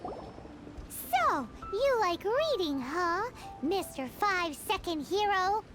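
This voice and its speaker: An extremely high, squeaky voice for a tiny game sprite. It is cheeky and scolding, swooping widely at an unhurried pace, with loud music behind. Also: female voice, very animated, full character voice. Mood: teasing. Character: tiny childlike floating companion sprite, cheeky and indignant.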